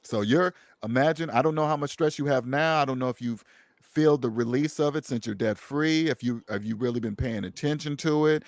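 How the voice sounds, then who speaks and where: A man's voice: mid-range voice, fairly quick, no room sound. A man hosting a casual conversation, speaking emphatically and preachily, repeating phrases for stress. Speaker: podcast host.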